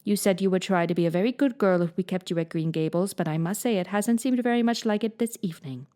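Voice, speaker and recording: A woman's voice: mid-range voice, fast talker, dark tone, lightly acted. A woman reading as a stern, dry guardian. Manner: severe, dry.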